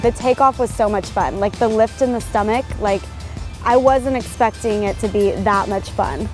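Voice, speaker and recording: Excited woman chatting over background music. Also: medium pitch, moderate pace. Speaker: first-time small-plane passenger. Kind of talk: casual recollection to camera. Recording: music under the voice.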